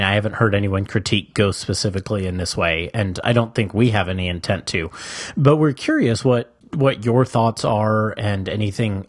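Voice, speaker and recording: A man's voice: fairly low pitch, no room sound, clean studio sound. A man co-hosting an interview, asking careful, qualified questions. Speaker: podcast co-host.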